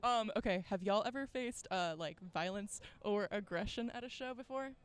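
A woman's voice: medium pitch, normal speed, smooth. A woman interviewing casually, asking short questions and giving brief, affirming responses. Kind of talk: casual, supportive interview chat.

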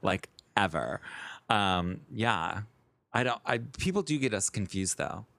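A man's voice: fairly low pitch, animated, unhurried, pushed and bright, dry recording. A man from Chicago with a Chicago accent, co-hosting a podcast in playful casual chat. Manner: playful, laughs easily. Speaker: podcast co-host.

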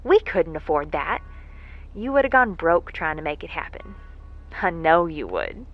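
Folksy moonbase worker, muffled recording. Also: female voice, sits low, big pitch swings, measured pace. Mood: wistful, rueful. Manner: folksy, self-deprecating, rambling. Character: folksy, lovesick stranded moonbase worker, wry and rambling. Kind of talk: audio drama monologue, a spoken letter home. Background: faint music.

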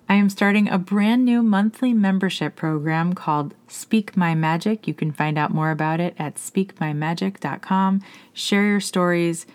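Woman podcast host w a lowish voice, does intros and interview chat. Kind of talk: scripted introduction and conversational interview.

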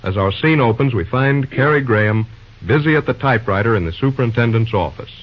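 A man's voice: mid-range voice, animated, a little fast, slight background noise. A man announcing and narrating an old-time radio serial in a scripted style. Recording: muffled old radio recording. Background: music.

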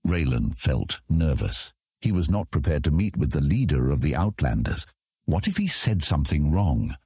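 Male audiobook narrator, very low husky voice, expressive melody, dry studio-like sound, scripted fantasy storytelling. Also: normal speed, no echo.

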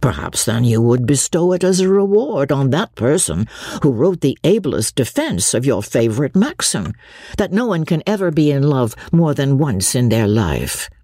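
Woman acting low, husky, wry young gentleman. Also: sing-song, normal speed, dry recording, clean studio sound, heavily acted. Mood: teasing. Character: mild, wry young gentleman, teasing and courteous.

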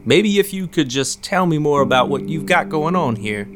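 A man's voice: a little high, animated, moderate pace, noisy background, close to an everyday voice. An actor playing a garrulous, folksy big man, a self-satisfied hobbyist and small-time officer, in an audio drama. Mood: officious, bargaining.

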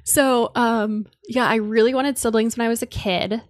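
A woman's voice: fairly high pitch, steady tone, moderate pace, dry recording, clean studio sound. A young woman from Connecticut co-hosting a podcast, chatting casually and rambling with lots of "like.". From Connecticut, United States. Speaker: podcast co-host. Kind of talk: casual chat.

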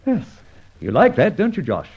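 A suave gentleman adventurer who narrates his own exploits, his voice soaring and dipping dramatically. It has a husky edge and comes through a dull, lo-fi old radio recording. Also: sits high, wildly swooping pitch, normal speed, heavily acted. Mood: commanding. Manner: confident, mocking, commanding. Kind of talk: 1940s radio acting.

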